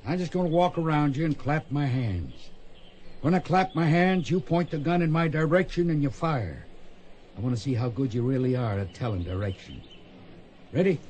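An actor playing a fond, gruff Old West gunfighting mentor with folksy country phrasing in a radio drama. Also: male voice, on the high side, lively intonation, moderate pace, darkish tone, soft background music, close to an everyday voice. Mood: instructing. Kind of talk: radio drama acting, western.

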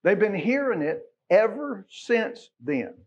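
Middle aged american guy preaching a sermon, kinda raspy, folksy and emphatic, sound is a bit muffled. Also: sits high, big pitch swings, takes it slowly, darkish tone. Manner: conversational, folksy, emphatic with rhetorical questions. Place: church sanctuary, at a pulpit.

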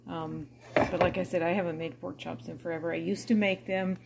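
A middle-aged woman with a somewhat low voice narrates her cooking in a relaxed, chatty way. She sounds homey and unhurried as she walks through each step. Faint kitchen background noise sits under her voice. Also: vocal fry. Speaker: cooking vlogger. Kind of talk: casual cook-with-me narration. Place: home kitchen.

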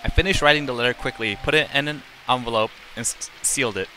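A man's voice: mid-range voice, fast talker, bright, forceful tone, soft background music, rough recording. A middle-aged man live streaming, reading game text aloud in a plain, unacted way with casual asides and occasional stumbles.

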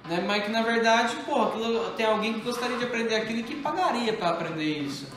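A man in his thirties hosting a casual chat with friends in a home kitchen, telling animated stories and acting out the dialogue. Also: very high-pitched, fast talker. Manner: animated storyteller, acts out dialogue. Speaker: host. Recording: echoey kitchen with music behind.

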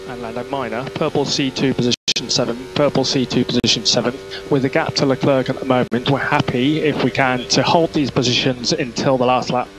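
A man working as a race engineer gives terse, measured instructions over team radio, often repeating them. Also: mid-range voice. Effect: team radio transmission. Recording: noisy team radio with vehicle sound.

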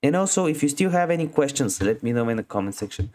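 A man's voice: mid-range voice, very animated, fast, darkish tone. A man narrating a casual how-to tutorial as its host, talking informally and directly to viewers.

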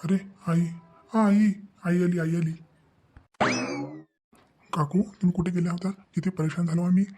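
A man's voice: sits high, steady tone, moderate pace, mellow, slight background noise, lightly acted. A man voicing a disheartened, exasperated young family man in a cartoon, fretting and repeating himself as he rambles. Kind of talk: cartoon comedy-drama dialogue.

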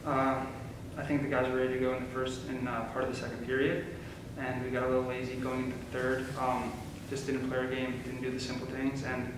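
A man's voice: mid-range voice, completely flat delivery, fairly quick, few pauses. A young man, a college hockey player, giving plain postgame answers full of fillers. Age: young adult. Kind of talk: postgame interview. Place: press room at a table. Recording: echoey room from a distance, background hiss.

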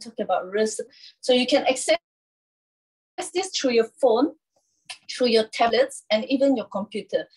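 A woman in her thirties presenting a live cooking demonstration from a home kitchen, in an instructional, halting way with frequent check-ins. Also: a little high, darkish tone, low-quality recording. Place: small home kitchen, indoors.

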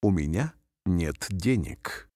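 A man reading short phrases aloud slowly and carefully, each one repeated, for language learners. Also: deep voice, animated, warm tone, dry recording. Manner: careful, clearly spaced phrases. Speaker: language teacher. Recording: dry studio voice-over.

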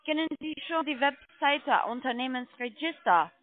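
A woman's voice: sits high, moderate pace, no echo. A woman with a foreign accent, a non-native speaker, working as a call center agent and arguing insistently, repeating herself over a phone line. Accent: foreign accent in German. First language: not German. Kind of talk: unscripted phone argument. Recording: tinny, narrow phone line.

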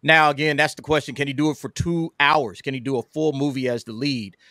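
Fast-talking man on a debate panel. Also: mid-range voice, fairly even intonation, bright, forceful tone, dry recording, studio quality. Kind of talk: casual debate chat.

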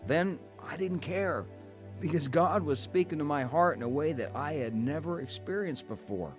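An actor playing a stubborn, troubled husband in a radio drama, plain-spoken and earnest. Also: male voice, fairly high pitch, lively intonation, normal speed, soft background music, lightly acted. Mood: earnest recounting. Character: stubborn, troubled husband recounting his conversion. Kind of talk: radio drama narration and dialogue.

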